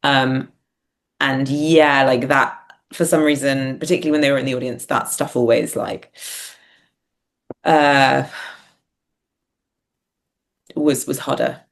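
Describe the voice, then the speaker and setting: A woman's voice: rumbling low, steady tone, unhurried, creaky, pauses often. Reflective woman, casual interview talk. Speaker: podcast guest, writer and performer.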